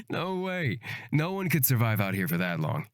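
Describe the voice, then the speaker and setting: A man's voice: mid-range voice, fairly bright tone, no echo, studio quality, heavily acted. A man playing an aloof, sarcastic young fantasy character with a dismissive drawl in a visual novel.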